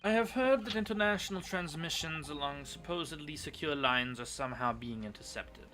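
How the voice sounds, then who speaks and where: A man's voice: a little high, a little fast, sharp tone, slight background noise. A man voicing a nervous, self-important telegraph officer in an amateur courtroom game dub, theatrical and polite.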